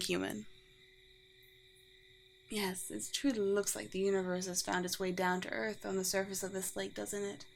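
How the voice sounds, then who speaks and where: A woman voicing a gentle, regal undead vampire queen in a scripted ASMR roleplay, speaking formally and poetically with tender, light amusement. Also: mid-range voice, slight background noise, lightly acted, rough recording. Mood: inviting, serene.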